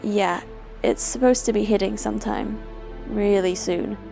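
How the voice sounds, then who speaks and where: A woman's voice: mid-range voice, fairly even intonation, measured pace, gravelly, mellow. An actress playing a tired, hesitant young woman travelling companion in an audio drama. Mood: practical, subdued. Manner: hesitant, sheepish. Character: tired, hungry young female travel companion, shy but practical. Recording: music bed under the voice. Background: soundtrack music.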